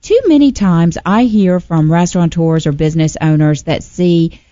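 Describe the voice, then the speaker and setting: Low, mellow-voiced woman radio host. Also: normal speed, no echo, lo-fi sound. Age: middle-aged. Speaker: radio show co-host, restaurant broker. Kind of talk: polished radio show presenting. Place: small radio studio. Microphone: studio mic on boom arm.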